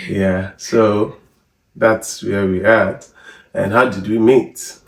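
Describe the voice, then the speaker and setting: A man in his thirties with a Nigerian accent, chatting in a relaxed, rambling way on a family vlog. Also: fairly low pitch, very slow, creaky. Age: 30s. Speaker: vlogger. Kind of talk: casual chat. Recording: echoey room from far off.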